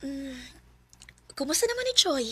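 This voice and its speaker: An actress playing a lovelorn young student in a Bisaya radio drama, emotional and pleading, with a Cebuano Filipino accent. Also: female voice, high voice, sing-song, moderate pace. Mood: subdued. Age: young adult. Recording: music behind the voice.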